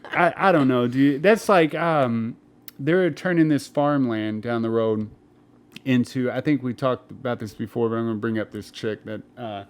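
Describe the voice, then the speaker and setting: Young man, podcast co-host, crude rambling banter, swearing, filler words, medium pitch. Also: moderate pace.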